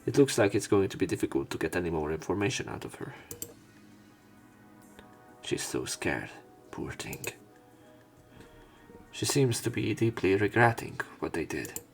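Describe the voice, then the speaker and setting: A man's voice: sits low, big pitch swings, moderate pace, pauses often. A man reading a visual novel script aloud as let's play narration, acting out the story. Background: faint game music.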